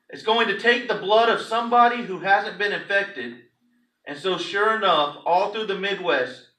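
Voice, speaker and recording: A man's voice: sits high, pushed and bright. A man, a pastor, giving a theatrical, emphatic dramatic reading of a story to a congregation. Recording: echoey room, distant microphone.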